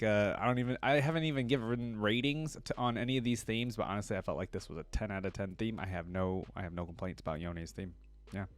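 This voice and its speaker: A man's voice: lowish voice, no room sound. A man hosting a reaction video, commenting casually in a laid-back, appreciative way.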